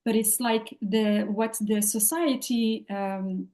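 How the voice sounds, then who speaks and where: A woman, speaking English as an as a podcast guest, talking in a thoughtful, halting way with many fillers and restarts. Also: medium pitch, fairly even intonation, warm tone. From France. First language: French. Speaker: podcast guest, leadership coach. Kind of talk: interview conversation.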